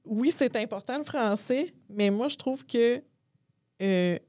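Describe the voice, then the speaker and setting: A woman's voice: fairly high pitch, slow, a little mellow, dry recording, clean studio sound. A woman from Abitibi-Témiscamingue with a Québécois accent, sharing her opinions on a panel with many hesitations. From Abitibi-Témiscamingue, Quebec. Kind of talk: panel discussion.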